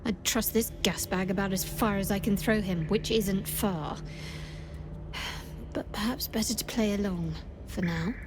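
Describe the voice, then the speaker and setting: A wary young cleric, voiced by a woman, speaks in a dry, guarded tone at a middle pitch. Loud ambient game noise runs underneath her lines. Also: measured pace, lightly acted. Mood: sardonic. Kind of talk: video game cutscene voice acting.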